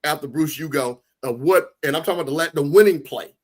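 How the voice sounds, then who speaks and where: A man hosting a sports talk show, loud and emphatic, often restarting his sentences. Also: a little high, quick pace. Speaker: show host.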